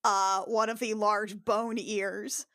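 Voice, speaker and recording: A woman's voice: mid-range voice, little pitch movement, slow, pushed and bright, dry recording. Woman improvising game narration, dry sound. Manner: tentative, descriptive, thinking aloud. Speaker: player in a tabletop role-playing podcast.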